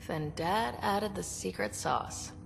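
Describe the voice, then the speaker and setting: A woman's voice: mid-range voice, slow pace. An actress playing a young female explorer in a video game, casual, wry and direct. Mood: curious, wry. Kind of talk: scripted video game dialogue. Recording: reverberant space, clear background noise.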